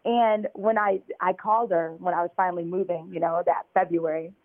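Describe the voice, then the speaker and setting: A woman's voice: fairly low pitch, fairly even intonation, fairly quick, dry recording. An American woman telling her story as an interview guest over a phone call, chatty and full of fillers. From United States. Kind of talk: conversational interview. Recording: tinny phone line.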